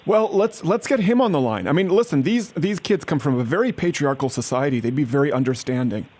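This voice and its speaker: A man's voice: a little high, expressive, a little fast, a little mellow. A man making a prank phone call as an earnest-sounding aid worker, pushing persistently. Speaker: prank caller.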